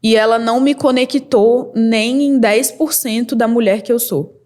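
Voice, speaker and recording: Candid, lively young Brazilian woman. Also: a little high. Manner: lively and candid, quick bursts with laughs. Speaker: podcast guest, model and entrepreneur. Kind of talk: casual interview storytelling.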